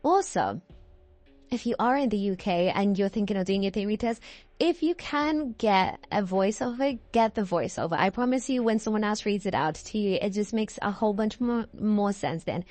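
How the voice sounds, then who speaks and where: A young British woman in her early twenties talks casually, like a video creator rambling to her audience. Her voice sits at a middle pitch for a woman. She is chatty and digressive, often correcting herself mid-thought, and the sound is dry, with very little room echo. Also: lively intonation, normal speed, darkish tone. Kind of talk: casual rambling chat over gameplay.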